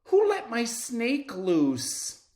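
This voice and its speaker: A man voicing a panicked schoolgirl in a children's storybook, shouting frantically. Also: very high-pitched, wildly swooping pitch, extremely slow, voice pushed up, exaggerated voice. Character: panicked schoolgirl shouting about her lost snake. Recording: roomy, echoing sound.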